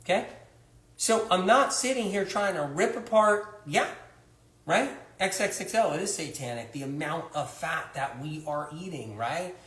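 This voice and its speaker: A man's voice: fairly high pitch, lively intonation, measured pace, a bit bright, reverberant. A man hosting a livestream monologue, emphatic and repetitive, firing rhetorical questions at viewers. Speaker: health author hosting a livestream.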